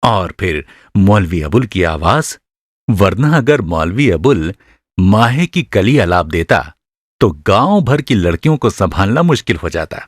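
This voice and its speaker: A man's voice: lowish voice, very animated, no echo, studio quality. A man narrating a literary short story for radio drama in a scripted, dramatic storytelling style.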